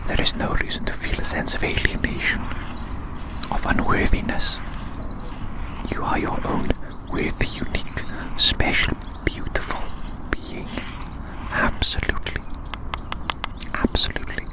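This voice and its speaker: Breathy unvoiced ASMR whisper. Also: poor audio. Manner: repeats phrases softly and slowly. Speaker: ASMR performer.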